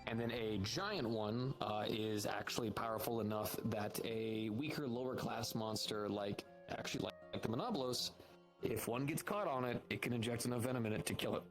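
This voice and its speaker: A man's voice: fairly low pitch, moderate pace, poor audio. A man roleplaying a knowledgeable insect keeper at a fantasy hunters' guild, explaining patiently and informatively in an improvised style. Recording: faint music behind the voice. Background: faint music.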